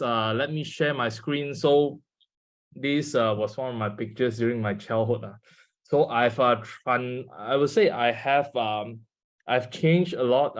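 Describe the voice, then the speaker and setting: Young man, Malaysian English accent, Mandarin native, hesitant delivery, fillers, mid pitch, video call. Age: 20s. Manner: hesitant, many fillers, speaks to an audience. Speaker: guest speaker. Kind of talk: online seminar talk.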